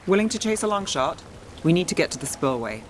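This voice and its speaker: A woman's voice: sits low, big pitch swings, fast, slight background noise. Dry, weary female detective, rainy backdrop. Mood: focused, businesslike. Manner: dry, understated wit. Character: weary, wry, guarded young female detective in a fantasy city. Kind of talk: video game voice acting.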